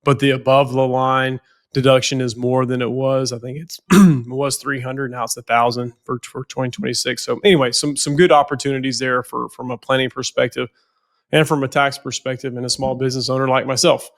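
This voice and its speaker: A man's voice: medium pitch, little pitch movement, a little fast. A financial planner with a head cold, sounding nasal, talking casually on a podcast and often repeating words as he goes.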